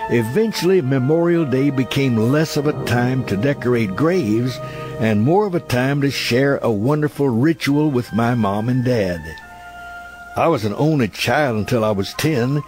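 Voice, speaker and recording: A man's voice: medium pitch, expressive, unhurried, darkish tone. A man reading a scripted memoir as a narrator, in a nostalgic, reflective storytelling manner. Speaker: audiobook narrator. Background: background music.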